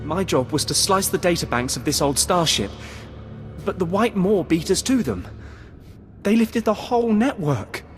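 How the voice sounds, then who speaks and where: A man's voice: high voice, very animated, normal speed. A man playing a nervous, cowed Imperial computer technician in a video game, anxious, hesitant and pleading. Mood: nervous confession. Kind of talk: scripted video game acting. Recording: long reverb, game music behind.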